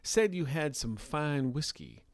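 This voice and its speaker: A man's voice: fairly high pitch, animated, a little slow, dry recording, voice pushed up. A man voicing a rugged, polite and wry frontier gunslinger with a folksy, drawling manner in an audio story. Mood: sheepish, polite. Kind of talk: scripted audio fiction storytelling.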